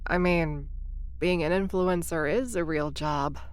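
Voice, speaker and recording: A woman's voice: on the low side, unhurried, clean tone, close to an everyday voice. A woman narrating and playing a wry, anxious would-be influencer close to thirty, full of rambling self-deprecating asides. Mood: defensive. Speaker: narrator and protagonist. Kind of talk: first-person audio drama narration.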